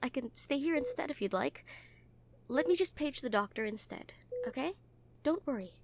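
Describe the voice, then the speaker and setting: A caring, gently teasing nurse acted by a woman in an intimate audio drama. The voice sits high. The delivery is conversational and a little hesitant, always earnest and reassuring toward the patient. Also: animated, moderate pace, slight background noise. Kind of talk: roleplay audio acting, soft comfort talk.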